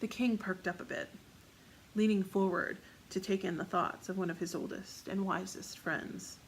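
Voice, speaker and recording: Creaky-voiced woman narrating a story. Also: medium pitch, fairly even intonation, moderate pace, slight background noise, low-quality recording. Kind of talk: scripted fiction narration.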